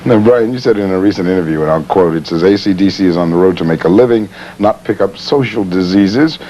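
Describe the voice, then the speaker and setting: A man interviewing a rock band, brisk and friendly, on a dull archival broadcast tape. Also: deep voice, dark tone, slight background noise. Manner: brisk, friendly, laughs along.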